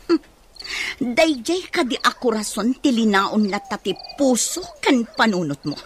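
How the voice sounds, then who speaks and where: A woman's voice: fairly high pitch, big pitch swings, measured pace, a little hiss or hum. A woman playing a lovesick, persistent wife in a melodramatic radio drama. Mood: pleading, hurt.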